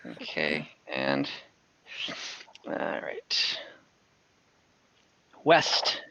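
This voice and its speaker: A man narrating as dungeon master in an online tabletop game, relaxed and chatty with many fillers. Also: extremely low pitch, drawn-out words, gravelly. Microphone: computer mic on a video call. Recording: muffled online call audio.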